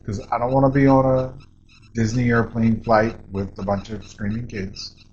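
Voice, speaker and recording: An older man with a deep voice chatting casually as a podcast co-host, rambling and animated, with many restarts. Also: normal speed, dull audio, slight background noise. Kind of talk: casual chat.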